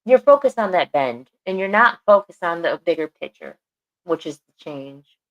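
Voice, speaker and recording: A woman's voice: lowish voice, lively intonation, moderate pace, muffled recording. A woman co-hosting a podcast, talking earnestly and repeating phrases for emphasis. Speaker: podcast co-host. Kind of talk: casual podcast chat.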